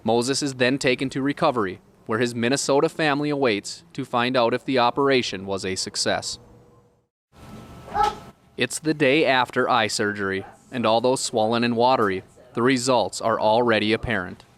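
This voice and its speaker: A man's voice: medium pitch, moderate pace, a bit bright, slight background noise. A man reading scripted narration for a local television news feature.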